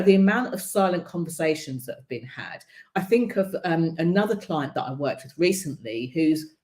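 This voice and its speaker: A woman coach with a deep voice and no marked accent, talking casually in a broadcast and filling her speech with ums and you-knows. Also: darkish tone, echoey room. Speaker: visibility coach hosting a broadcast. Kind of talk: casual spoken coaching talk.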